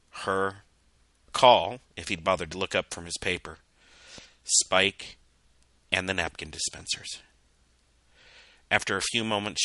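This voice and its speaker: Deep, sharply bright male storyteller. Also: dry recording. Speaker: narrator reading fan fiction. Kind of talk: scripted story narration.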